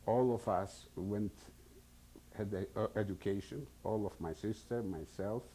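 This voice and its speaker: A man in his seventies from Poland with a Polish accent, giving oral history testimony slowly and hesitantly, often searching for English words. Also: lowish voice, mellow, slight background noise. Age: 70s. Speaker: interviewee.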